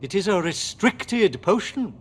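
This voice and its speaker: An actor playing a fussy, jovial older British professor in a theatrical, florid game style. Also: male voice, sits high, big pitch swings, a little slow, slightly rough, exaggerated voice. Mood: jovial, knowing. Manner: florid, exclamatory. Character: fussy, jovial older male potions professor at a wizard school. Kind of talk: theatrical video game voice acting. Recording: music behind the voice.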